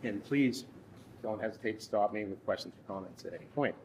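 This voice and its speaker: A man in his thirties leading a workshop from a lectern in a conference room, speaking haltingly with frequent restarts. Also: fairly low pitch, a little hiss or hum. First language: English. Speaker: workshop instructor. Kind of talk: workshop presentation.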